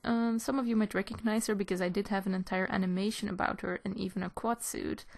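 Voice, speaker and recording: A woman's voice: mid-range voice, steady tone, fast, creaky, a little mellow. A woman from Belgium, casually answering viewers' questions in a hesitant, informal, giggly manner, recorded in a room at home.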